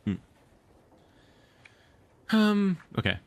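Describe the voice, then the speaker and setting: A man streaming casually, chatting and reading a storybook aloud in an expressive, informal way. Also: high voice, extremely slow, vocal fry, dry recording. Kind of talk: casual livestream chat and expressive read-aloud narration.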